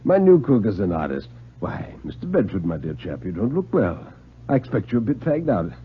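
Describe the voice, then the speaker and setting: A man voicing a genial, scheming old retired British police knight, theatrical and deliberate, in a radio drama. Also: fairly low pitch, very animated, husky, full character voice. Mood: genial, sly. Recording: muffled 1950s radio recording.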